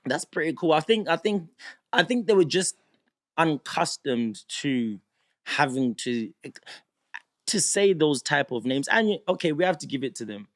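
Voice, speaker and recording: A man's voice: a little high, expressive, a little slow, no echo. A man in his thirties hosting a podcast, joking around in easy banter in a studio. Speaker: podcast host. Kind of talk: casual conversation. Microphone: studio mic.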